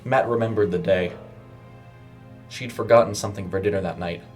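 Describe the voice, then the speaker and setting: Low-pitched man narrating horror. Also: a little fast, darkish tone. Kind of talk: dramatic scripted horror story narration. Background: music under the voice.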